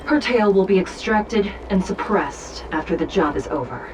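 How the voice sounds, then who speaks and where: An actress playing a stern, composed judge in a video game, speaking in a formal, measured way. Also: female voice, mid-range voice, expressive, noisy background, natural voice. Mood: coldly menacing. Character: stern, composed female judge, an illusory double. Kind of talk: video game character acting.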